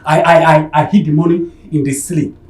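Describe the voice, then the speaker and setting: A man's voice: a little high, steady tone, a little fast, darkish tone, roomy sound, lightly acted. An actor playing a frightened husband, stammering as he pleads. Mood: nervous.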